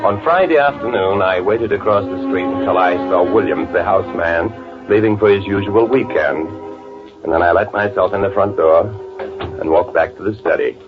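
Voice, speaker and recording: Radio noir: male actor as menacing twin brother, deep, sardonic, deadpan, on a narrow-band vintage recording. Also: normal speed, music in the background, lightly acted. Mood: cool, matter-of-fact. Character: cold, menacing twin brother, a man narrating his murder. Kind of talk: old-time radio noir acting and narration. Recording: band-limited old radio drama recording.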